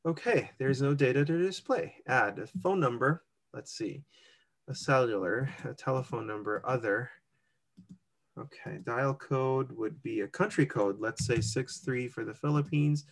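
A man's voice: mid-range voice, a little slow, creaky. A chatty man in the middle of his range talks through a form step by step and thinks aloud as he goes. His tone stays casual and easygoing, and he laughs lightly at his own slips. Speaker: co-host walking through an online immigration form. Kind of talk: casual screen-share walkthrough.

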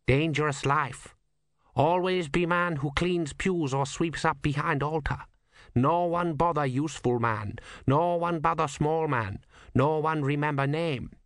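A man voicing a calm, wry old male sweeper monk who speaks clipped broken English. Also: a little high, unhurried, no echo, clean studio sound, voice pushed up. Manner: clipped broken English, drops small words.

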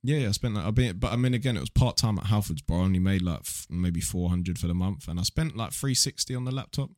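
A young man with a southern English accent and a low-pitched voice talks casually on a podcast. He is blunt and slangy, swearing freely, and the sound is dry with little room to it. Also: quick pace, a little mellow, no room sound. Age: young adult. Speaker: podcast guest, online video creator.

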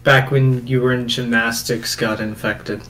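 A man's voice: medium pitch, flat delivery, measured pace, fairly bright tone, slight background noise. A man co-hosting a podcast in casual, bantering conversation. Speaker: podcast co-host.

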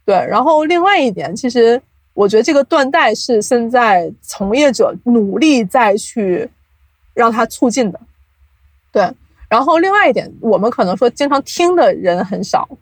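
A woman's voice: on the high side, expressive, normal speed, no echo, poor audio. A woman explaining at length, with examples, in a casual podcast interview as an invited guest. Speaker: guest, audio drama producer.

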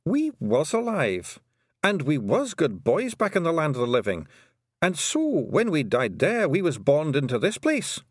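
A man's voice: fairly high pitch, huge pitch swings, a little slow, no room sound, clean studio sound, voice pushed up, exaggerated voice. A man voicing a cheerful, scrappy little pixie warrior with a hearty, folksy manner and a Scottish accent.

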